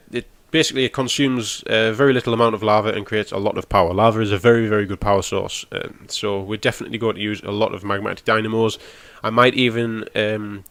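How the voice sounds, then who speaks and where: Brief: young gaming host, stuffy nasal cold, lowish voice, dry close recording, rambling walkthrough. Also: male voice, a bit bright, dry recording. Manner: rambling, explains as he goes, frequent ums. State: has a cold, nasal and snotty. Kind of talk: casual gameplay walkthrough.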